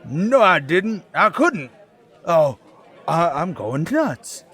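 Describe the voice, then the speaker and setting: A man playing a rattled small-time crook in a radio drama, with rough, slangy, defensive speech. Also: a little high, big pitch swings, takes it slowly, a bit bright, a faint crowd, full character voice. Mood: panicked, guilty. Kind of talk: radio drama acting as a gangster.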